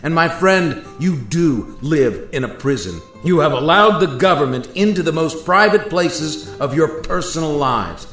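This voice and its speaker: A man's voice: fairly high pitch, animated, a little slow, few pauses, a bit bright. Over music in an echoing space, a man acts out a grave founding father statesman from a radio play. His delivery swings widely as he reproaches his listeners with sorrowful, oratorical indignation. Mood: indignant. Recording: roomy echo with music bed.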